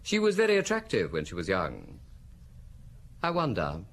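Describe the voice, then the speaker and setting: An actor playing a well-bred nephew in an audio drama, polite, hesitant and evasive, with an excitable manner. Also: male voice, low-pitched, moderate pace, slight background noise, exaggerated voice. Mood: confiding. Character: well-bred gentleman nephew, nervy, evasive, eager to help.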